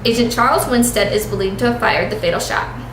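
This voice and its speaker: A woman's voice: a little high, brisk. A woman reading a scripted report as a reporter in a mock 1930s newscast. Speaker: news reporter. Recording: echoey room with clear noise.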